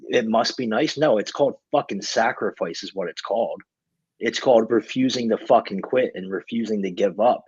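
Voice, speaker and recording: A man's voice: lowish voice, darkish tone, slightly rough, no echo. A man in his twenties giving a casual motivational rant, blunt, emphatic and full of swearing, on lo-fi audio. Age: 20s. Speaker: podcast speaker.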